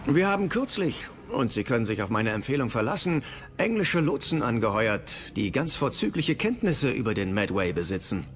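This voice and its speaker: Resolute Dutch statesman for history docudrama, male actor: mid pitch, animated, assured. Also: moderate pace, close to an everyday voice. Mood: determined. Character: resolute, persuasive Dutch statesman urging attack. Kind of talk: dramatised history documentary dialogue.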